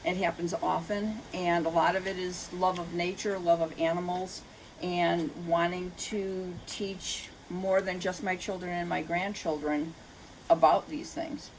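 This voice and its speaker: An older woman answers interview questions in an earnest, explanatory way. Her voice sits a little low with noticeable fry, and she speaks off the cuff as an author would to an interviewer. Faint background noise sits behind her. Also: fairly even intonation, unhurried, low-quality recording. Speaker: children's book author, interview guest.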